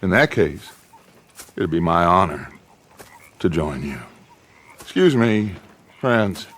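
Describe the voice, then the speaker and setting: A charismatic middle-aged outlaw boss with an American accent and a lowish voice. His delivery is slow and swooping, full of grand game-drama oratory. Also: big pitch swings, fairly bright tone, full character voice. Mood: mock gracious. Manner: theatrical, grandiloquent, deliberate oratory. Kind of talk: dramatic video game acting.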